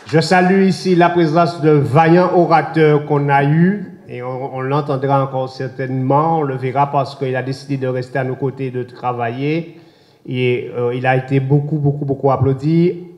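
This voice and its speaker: A hoarse man, the mayor, giving a measured formal closing speech to an assembly. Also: medium pitch, steady tone, darkish tone. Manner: measured, addressing an assembly with thanks and invitations. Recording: roomy echoing council chamber.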